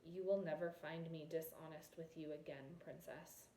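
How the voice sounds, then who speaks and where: A woman's voice: sits low, steady tone, moderate pace, warm tone. A woman voicing a bold young prince in a storybook, assured and sardonic, in an echoey room. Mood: earnest. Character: bold, wry young male prince, former orphan.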